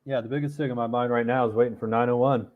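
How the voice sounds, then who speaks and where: A man's voice: medium pitch, fairly even intonation, very fast. A man co-hosting a casual chat from a remote call, thinking aloud in a chatty way. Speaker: regular co-host. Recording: tinny phone-like line.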